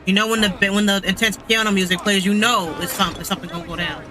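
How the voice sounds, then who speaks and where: A man's voice: sits high, fairly even intonation, fast. A man giving casual, chatty video game commentary as a streamer. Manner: informal, chatty. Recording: game music behind.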